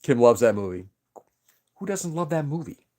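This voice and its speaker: A man's voice: medium pitch, big pitch swings, moderate pace, darkish tone. A man whose first language was Greek, chatting casually on a livestream, rambling and greeting viewers by name. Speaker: livestream host.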